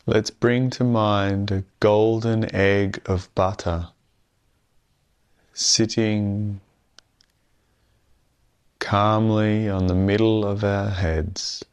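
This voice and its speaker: A man leading a guided meditation in a calm, soothing, measured way with long pauses. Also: on the low side, smooth.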